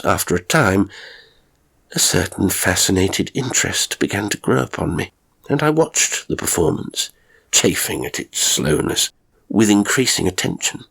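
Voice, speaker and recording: Low, gravelly male horror story narrator. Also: a bit bright. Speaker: audiobook narrator of strange stories. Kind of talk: scripted first-person horror story narration.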